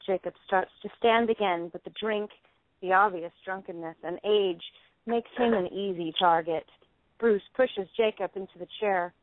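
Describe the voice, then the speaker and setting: A woman's voice: mid-range voice, no echo. A woman narrating the stage directions of a play in a scripted, dramatic reading. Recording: tinny phone-like band.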